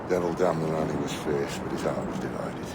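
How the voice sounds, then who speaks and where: Fierce, gravelly pirate captain. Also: male voice, very deep voice, a little fast, very noisy. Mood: brooding. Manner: swaggering, menacing, sarcastic, profane. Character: fierce, half-mad, foul-mouthed pirate captain. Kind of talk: cinematic video game acting.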